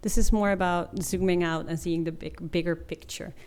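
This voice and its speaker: Mellow, lowish-voiced young woman presenting. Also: fairly even intonation, normal speed, slight background noise. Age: 20s. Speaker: master's student presenter. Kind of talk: informative presentation to an audience.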